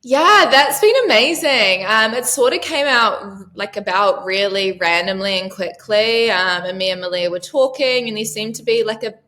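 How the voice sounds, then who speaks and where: A woman's voice: medium pitch, moderate pace, few pauses, sharp tone. A woman in her thirties from New Zealand, chatting casually as an interview guest about her yacht crew work, with lots of "um" and "like". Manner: chatty, frequent "um" and "like".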